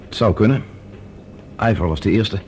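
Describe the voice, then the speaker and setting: Man acting as calm space expedition leader, lowish voice, measured, scientific, decisive, radio drama, noisy recording. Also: lightly acted. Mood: reasoning.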